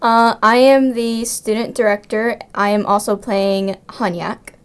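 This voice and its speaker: A woman's voice: a little high, fairly even intonation, unhurried, smooth. A teenage girl, a student director and cast member, answering interview questions chattily with lots of ums and likes. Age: teens.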